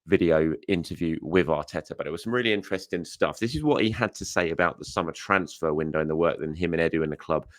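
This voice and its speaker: A young adult man who hosts a football news show, talking in a casual, chatty way. He is hoarse and often uses fillers and corrects himself as he goes. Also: sits low, fast, few pauses, no room sound, clean studio sound. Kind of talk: casual solo commentary.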